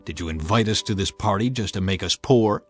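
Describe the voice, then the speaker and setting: A man's voice: fairly low pitch, a little fast, husky, soft background music. Man playing a menacing, demanding young townsman.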